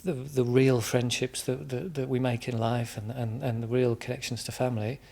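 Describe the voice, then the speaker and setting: Englishman as interview guest: informal, thoughtful, halting delivery with repeated words. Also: medium pitch, flat delivery, vocal fry, warm tone. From England, Midlands. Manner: hesitant, reflective, repeats words as he explains.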